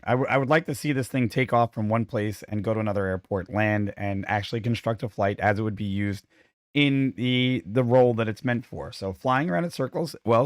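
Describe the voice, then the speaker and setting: A man's voice: mid-range voice, no room sound. Brief: male co-host, voice worn out this week, opinionated and chatty, dry sound. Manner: opinionated, chatty, self-deprecating. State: losing his voice. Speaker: podcast co-host. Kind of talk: casual chat.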